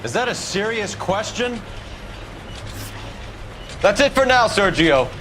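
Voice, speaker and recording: A man acting a stern, driven police detective questioning suspects in a video game drama, forceful and accusatory. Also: high voice, very animated, slow pace, noisy background, heavily acted. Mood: stern, pressing.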